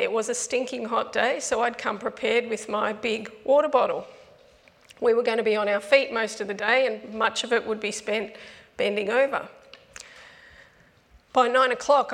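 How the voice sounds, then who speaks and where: Female pastor, strained gravelly voice, chatty storytelling sermon, long church reverb. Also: a little high. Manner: conversational storytelling preaching. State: strained voice from asthma.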